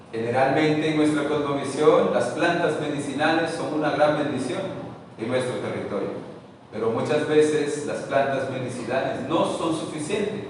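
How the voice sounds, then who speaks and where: Earnest male spiritual leader, preacherly address and prayer, voice sits a bit high, distant mic in a reverberant meeting hall. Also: a little hiss or hum. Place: meeting room. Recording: echoey hall, picked up from far off.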